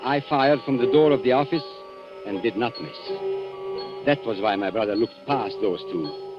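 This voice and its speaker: An actor playing a suave, coolly calculating antique dealer who kills, smooth, mock-polite and theatrical, in a 1940s radio thriller. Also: male voice, medium pitch, normal speed, natural voice. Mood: grave, confessional. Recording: dull 1940s radio recording with music.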